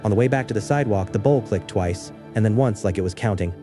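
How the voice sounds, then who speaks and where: A man narrating a scripted first-person horror story in a measured, understated way. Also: on the low side, music in the background.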